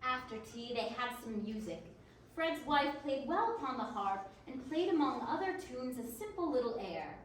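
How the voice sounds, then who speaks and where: A woman's voice: high-pitched, lively intonation, normal speed. A woman narrating a classic story as scripted readers theater. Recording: very echoey, distant, faint noise.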